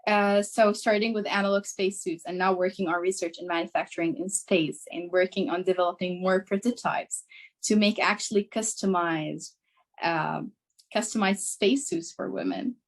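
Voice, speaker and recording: A woman's voice: on the low side, steady tone, moderate pace. A young woman with Arabic as her first language, from Syria, speaking as a guest engineer on a video call in an earnest, eager way. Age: young adult. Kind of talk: casual conversational answer.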